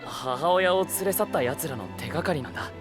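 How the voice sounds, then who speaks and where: An actor playing an earnest, eager young male adventurer in an expressive, boyish anime game style. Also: a little high, brisk, over music, lightly acted. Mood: somber, determined. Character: earnest, excitable young adventurer searching for his kidnapped mother. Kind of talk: dramatic anime game voice acting.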